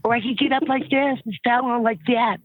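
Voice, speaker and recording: A blunt, foul-mouthed woman with a very deep voice, chatting as a guest. She sits a little higher than the lowest voices, and the sound is thin and phone-like with a dry, close tone. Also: steady tone, normal speed, no room sound. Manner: blunt, profane storyteller. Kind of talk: casual interview chat. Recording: tinny phone-like line.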